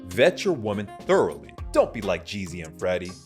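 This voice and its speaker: Low, lively male commentator over guitar. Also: brisk. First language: Vietnamese. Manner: preachy and warning, with sayings. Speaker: commentator in a played video. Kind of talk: opinion commentary. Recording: guitar music under the voice.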